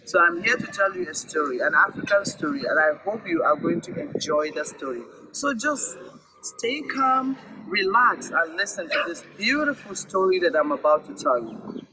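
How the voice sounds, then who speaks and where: A man telling a story aloud in a deliberate, emphatic way, with phrases repeated for weight. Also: sits high, sing-song. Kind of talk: oral storytelling read-aloud. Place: virtual event recording. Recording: clearly noisy recording with clicks.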